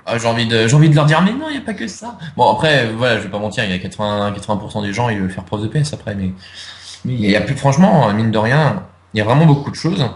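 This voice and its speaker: A man's voice: mid-range voice, expressive, racing, reverberant. A young man answering interview questions in casual chat, hesitant, with many fillers and restarts. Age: young adult. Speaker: interviewee, video creator.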